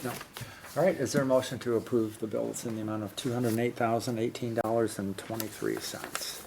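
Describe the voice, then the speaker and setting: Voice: medium pitch, fairly even intonation, a little fast, vocal fry, noisy background. A mayor chairing a city council meeting, moving through procedure in a plain, practical manner. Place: council chamber.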